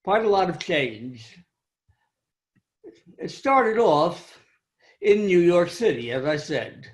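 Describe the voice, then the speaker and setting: An older man with a high-pitched voice tells a wry, self-deprecating story about his own life in a club speech over a video call. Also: big pitch swings, slow pace. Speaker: club speaker.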